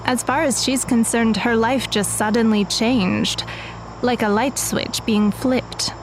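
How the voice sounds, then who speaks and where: A woman's voice: on the high side, steady tone, slow, slight background noise, poor audio. A woman playing a composed, guarded teenage magical girl in an audio drama, precise and matter-of-fact.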